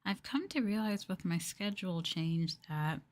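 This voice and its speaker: A soft-spoken, gentle woman streamer doing an ASMR livestream close to the microphone, sometimes drifting toward a whisper. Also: fairly low pitch, animated, moderate pace, dry recording. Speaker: VTuber streamer.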